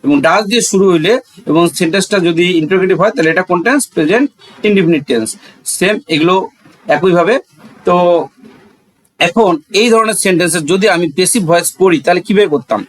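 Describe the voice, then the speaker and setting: High-pitched Bangladeshi male teacher. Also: fast, poor audio. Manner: didactic, repeats key phrases. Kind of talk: explanatory grammar lesson with rhetorical questions.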